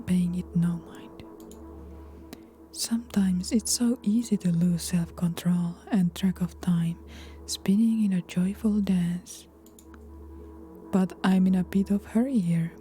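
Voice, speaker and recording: A woman doing let's play commentary, reading game text aloud in a soft-spoken voice that often turns whispery. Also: fairly low pitch, takes it slowly. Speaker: video game streamer. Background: game music.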